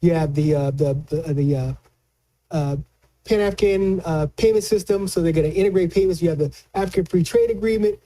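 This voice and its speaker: A man giving conversational political commentary as a news analyst, colloquial and halting, often repeating words as he explains. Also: fairly high pitch, dark tone, low-quality recording.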